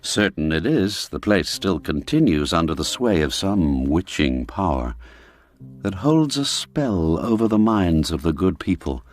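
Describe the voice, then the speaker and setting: A man narrating an audiobook story in a measured, deliberate way with dramatic pauses. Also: deep voice, very animated, faint music behind.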